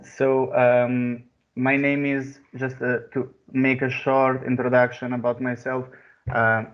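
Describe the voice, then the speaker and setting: A man in his twenties who grew up in Serbia, giving an artist talk over a video call, pausing often with fillers and restarts. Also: medium pitch, flat delivery. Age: 20s. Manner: hesitant, many pauses and fillers. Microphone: computer mic on a video call. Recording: muffled video call audio.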